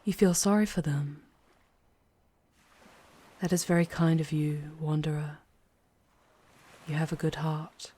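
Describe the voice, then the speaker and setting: A woman playing a charming, poised female demon guide in an intimate ASMR roleplay, speaking in a measured way with breathy, hushed touches. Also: deep voice, flat delivery. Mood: pensive, gentle. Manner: measured, deliberate, often dropping into breathy whispers.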